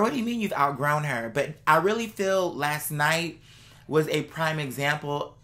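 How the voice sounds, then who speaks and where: A woman's voice: low-pitched, fairly bright tone. A woman ranting casually to her viewers, blunt, sassy and exasperated, with emphatic, slangy, profanity-laced delivery. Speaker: vlog host. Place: indoors at home.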